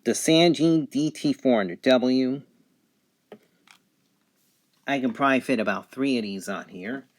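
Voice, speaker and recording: Slow-talking man reviewing a gadget. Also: mid-range voice. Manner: halting, pausing between short phrases. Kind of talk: unscripted product review, describing features on camera.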